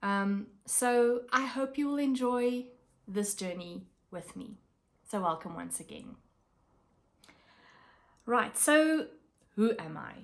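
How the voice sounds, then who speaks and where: A South African woman whose first language is Afrikaans, chatting casually to camera about her craft projects, with many pauses and fillers. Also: fairly high pitch, lively intonation, gravelly. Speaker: craft vlogger.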